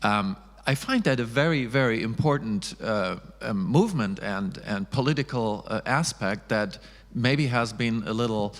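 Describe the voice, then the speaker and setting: A man whose first language is German, moderating a panel discussion in English, measured and hesitant with many ums. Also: lowish voice. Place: festival stage in a reverberant hall. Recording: long hall reverb.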